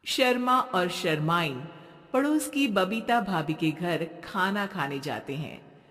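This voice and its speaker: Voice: medium pitch, animated, takes it slowly, a bit bright, big-room sound. A storyteller giving scripted narration for a cartoon comedy tale.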